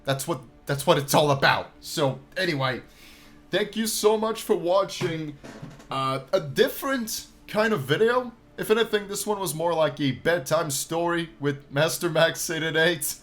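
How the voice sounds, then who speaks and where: A man's voice: fairly high pitch, a little slow, a bit bright, soft background music. A man hosting a gaming video, reading a visual novel aloud and commenting casually in a playful, storytelling way.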